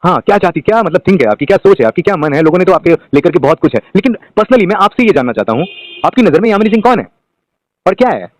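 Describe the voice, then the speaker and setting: A man's voice: sits high, sing-song, fast, no echo. A man interviewing a guest, asking probing questions with hesitant fillers and pressing for answers.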